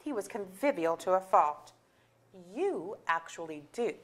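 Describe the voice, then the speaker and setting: A woman's voice: fairly low pitch, very animated, measured pace. A woman playing an exasperated middle-aged mother, candid and sardonic, in a staged comedy reading. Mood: dry. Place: auditorium stage.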